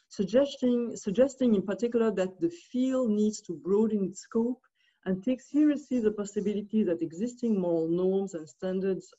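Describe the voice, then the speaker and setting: Hesitant Swiss woman with a mellow voice. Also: mid-range voice, lo-fi sound. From Switzerland. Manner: hesitant, many fillers and restarts. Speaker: discussant. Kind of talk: academic commentary on a video call. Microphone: video call.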